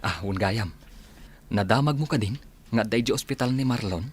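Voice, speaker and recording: An actor plays an easygoing, blunt village man in an Ilocano radio drama. His voice is fairly low and chatty, with an Ilocano accent. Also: normal speed, slightly rough, no echo. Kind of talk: Filipino radio drama acting.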